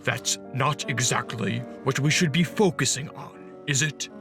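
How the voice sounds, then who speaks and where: A man acts out a vampire of few words, grave and commanding yet loyal. His speech is formal and measured, in radio drama style. Music plays clearly beneath him. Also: mid-range voice, close to an everyday voice. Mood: curt. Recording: music under the voice.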